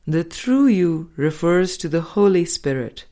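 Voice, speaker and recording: A woman reading a spiritual book aloud as an audiobook narrator, in measured, deliberate phrases with pauses. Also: lowish voice, expressive, mellow. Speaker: audiobook reader.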